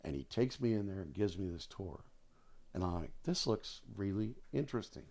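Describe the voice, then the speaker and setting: Deep-voiced older American man telling stories. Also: animated, normal speed, a little mellow. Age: 60s. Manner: emphatic, repeats phrases for stress. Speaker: podcast guest, retired air traffic controller. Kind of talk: casual conversational storytelling.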